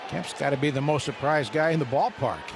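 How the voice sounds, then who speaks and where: A man's voice: medium pitch, brisk, a crowd in the background, noisy background. A man giving analysis and statistics as a color commentator on a live baseball radio broadcast. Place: stadium broadcast booth. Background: loud ballpark ambience.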